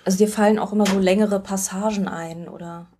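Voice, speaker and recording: A woman's voice: mid-range voice, fairly even intonation, brisk, mellow, a little hiss or hum. A woman interviewing a writer, following up with short, curious questions. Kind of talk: conversational interview.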